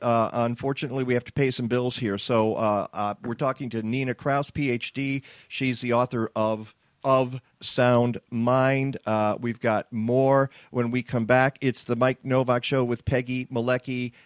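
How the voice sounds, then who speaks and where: Male radio host, casual and joking, lots of fillers, dry close sound, middle pitch. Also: fairly even intonation, dry recording. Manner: chatty, joking, halting with fillers. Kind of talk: casual interview talk.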